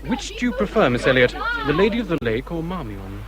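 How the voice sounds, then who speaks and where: An actor playing a bookish, melancholy English naval captain in a period radio drama, formal, stiff and earnest. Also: male voice, fairly high pitch, huge pitch swings, a little fast, a loud crowd. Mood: earnest, solemn. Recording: clear outdoor ambient noise behind.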